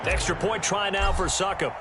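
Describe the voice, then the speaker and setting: Brisk, upbeat American TV football announcer male actor, game commentary, higher register, heavy background noise. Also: steady tone, close to an everyday voice. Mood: excited. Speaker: play-by-play sports announcer. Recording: game audio with loud stadium noise.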